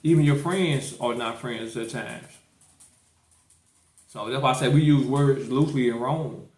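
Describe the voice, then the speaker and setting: A man's voice: medium pitch, unhurried, a little mellow. A man with a St. Louis accent hosts a casual podcast debate, hammering at his point and circling back over the same words. His voice sits in the middle of the male range. The room around him sounds echoey. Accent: St. Louis, Missouri. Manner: insistent, repeats himself. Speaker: podcast host.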